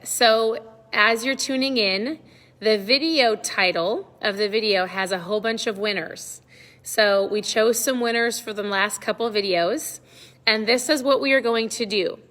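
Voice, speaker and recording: Middle-aged woman, slightly hoarse, upbeat livestream coach, casual chat, long room reverb. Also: medium pitch, a bit bright. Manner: upbeat, encouraging, conversational. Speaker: online coach hosting a live check-in.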